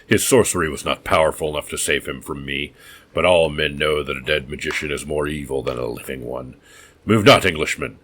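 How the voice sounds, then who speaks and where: A crazed innkeeper, half beast, who rants and roars with mirthless laughter in a very deep voice, put on by a man reading the tale. The villain of a dark adventure story, menacing and unhinged. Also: a little fast, husky, heavily acted. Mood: manic menace. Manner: booming wild laughter and roars. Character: mad, roaring escaped-prisoner innkeeper man.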